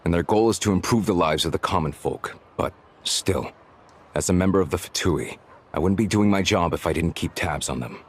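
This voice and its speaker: Deep-voiced formal officer, played by a man. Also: a little hiss or hum, natural voice. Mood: firm, dutiful. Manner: measured, official. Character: formal, dutiful, courteous male military officer. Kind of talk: video game cutscene acting.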